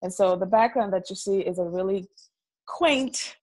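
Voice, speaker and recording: A woman from the Dominican Republic, a guest on a video call interview, telling stories in a lively, animated way and often repeating words. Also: mid-range voice, moderate pace. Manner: lively, animated storyteller who repeats words as she talks. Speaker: guest, business coach. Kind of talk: casual interview storytelling. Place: dorm room.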